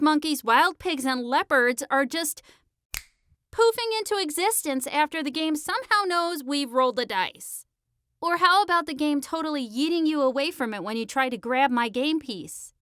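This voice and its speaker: A woman playing a sharp, argumentative sixteen-year-old girl in an audiobook, speaking in a slangy, emphatic, insistent way. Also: high voice, animated, moderate pace, no echo, studio quality. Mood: insistent, frustrated.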